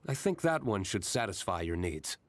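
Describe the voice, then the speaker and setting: A reserved young master of a winery in a video game. His voice sits low but moves expressively. He speaks politely and with measured understatement. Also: very animated, no echo. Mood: calm, helpful. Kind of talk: video game character voice acting.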